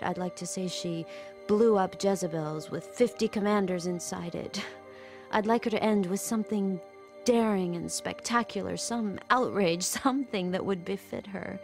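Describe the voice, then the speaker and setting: A woman's voice: mid-range voice, gravelly. An actress playing an anxious, wistful captive woman telling her secret story in an intimate radio drama. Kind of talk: radio drama acting, intimate first-person narration.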